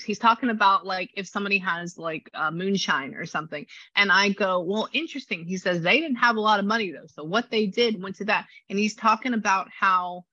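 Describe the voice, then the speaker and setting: A woman with a low voice and a slight rasp, chatting through a spirit reading and passing on messages. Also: bright, forceful tone, no echo, lo-fi sound. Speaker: psychic medium.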